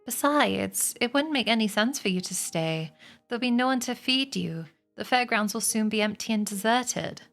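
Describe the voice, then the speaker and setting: A woman reading a storybook aloud and voicing a calm, dying female spider who speaks softly but firmly. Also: a little high, moderate pace, creaky, faint music behind, pitched above the performer's own voice. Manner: soft and composed.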